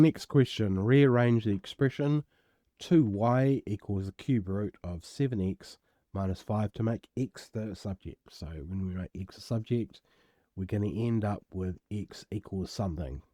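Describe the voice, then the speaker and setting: A man with a croaky voice, casually working through an algebra exam step by step as a tutor, recorded at home. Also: fairly low pitch, warm tone, no echo. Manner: casual, self-deprecating, explains step by step. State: croaky voice from illness. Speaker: maths tutor. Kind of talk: worked exam walkthrough.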